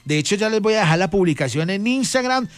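Chummy Colombian male radio host, pitched high. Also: animated, fast, no room sound. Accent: Colombian, Boyacá. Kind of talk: lively, informal local radio interview.

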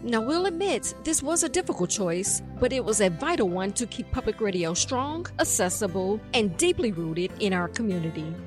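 A woman's voice: medium pitch, big pitch swings, moderate pace, hardly any pauses. Earnest woman announcer over music. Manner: earnest, reassuring. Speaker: station announcer. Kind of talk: scripted station announcement.

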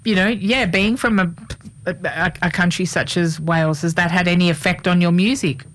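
A woman's voice: fairly low pitch, steady tone, moderate pace. A woman hosting a radio interview, asking long, rambling questions and correcting herself as she goes. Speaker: radio host.

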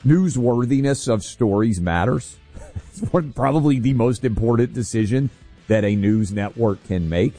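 A man's voice: mid-range voice, moderate pace, warm tone, soft background music. A man co-hosting a political talk radio show, making pointed critical remarks with frequent fillers.

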